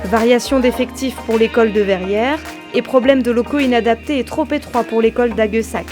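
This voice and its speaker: A woman delivers scripted documentary narration in a fairly high register. Music plays clearly behind her voice throughout. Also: a little fast.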